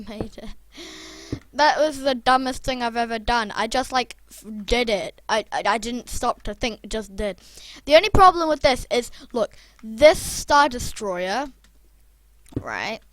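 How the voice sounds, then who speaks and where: A young YouTuber with a croaky voice, rambling casually and chatting through a building video. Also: medium pitch, sharp tone. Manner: rambling, chatty, self-deprecating. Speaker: Minecraft YouTuber. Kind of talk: casual gameplay commentary.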